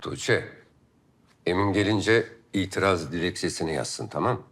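A man's voice: low voice, normal speed, over music. An actor playing a cold, menacing man in authority in a TV drama, curt and commanding. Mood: curt, dismissive. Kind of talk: TV drama acting.

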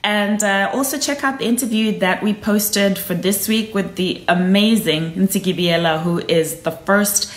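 A woman's voice: mid-range voice, a little slow, hardly any pauses. A woman in her thirties from Zimbabwe, a Shona speaker, hosting a live stream from a room at home into a phone held at arm's length, talking earnestly and encouraging her viewers. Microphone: phone camera at arm's length. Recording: echoey room.

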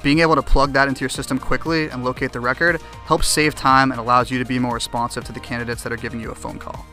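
A young man delivering scripted promotional narration for software. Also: medium pitch, level tone, fast, over music. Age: young adult. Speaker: software product presenter.

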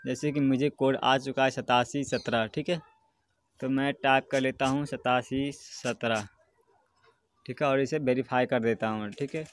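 A man's voice: medium pitch, fairly even intonation, unhurried, no room sound. A man presenting a casual step-by-step tutorial as host, often adding a short check-in tag. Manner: repeatedly checks in with a tag phrase.

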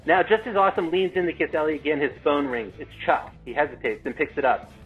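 A man's voice: on the high side, quick pace, husky. Tinny-sounding man narrating stage directions. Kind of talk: scripted narration at table read. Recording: tinny, phone-like call audio with music.

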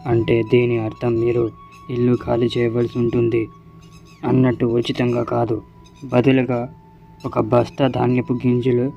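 Man as a cruel eagle landlord, flat voice. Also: mid-range voice, creaky. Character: cruel male eagle landlord, demanding and threatening. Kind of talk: scripted cartoon story dialogue. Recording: dull lo-fi audio.

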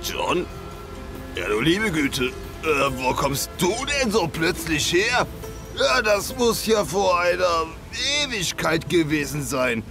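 An actor playing an indignant, stammering, blustering former soldier in a radio play. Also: male voice, a little high, pushed and bright, exaggerated voice. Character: startled, indignant old army comrade, turning aggressive.

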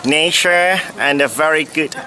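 A man's voice: a little high, unhurried. A man in his twenties with a Hong Kong accent, answering casually in an on-camera interview. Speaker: tourist interviewee. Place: outdoors in an open courtyard. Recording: loud outdoor background noise.

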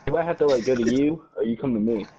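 A man's voice: medium pitch, fast talker, muffled recording, soft background music. A young man with a New York accent, teasing people during a prank call and game voice chat. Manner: lively, teasing, often laughs. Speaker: prank caller and gamer. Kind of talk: casual chat over voice chat.

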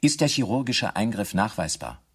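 An actor as the narrator of a historical crime audio drama, formal, sober and factual. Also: male voice, on the low side, very animated, moderate pace, breathy edge. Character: sober male narrator of a historical murder trial. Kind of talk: scripted documentary-drama narration.

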